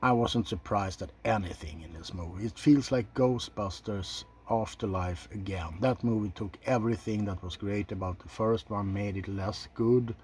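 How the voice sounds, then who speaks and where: A man giving unscripted ASMR film commentary in a soft, low-key, halting way, blunt and opinionated with casual swearing. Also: a little hiss or hum. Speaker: video host reviewing films.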